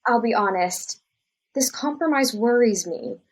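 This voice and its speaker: A young woman science teacher as a woman acts her in a podcast dramatization. Her voice sits a little high, and she speaks earnestly and hesitantly, troubled about doing right. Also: lively intonation, a little slow, slightly rough. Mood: worried, defensive.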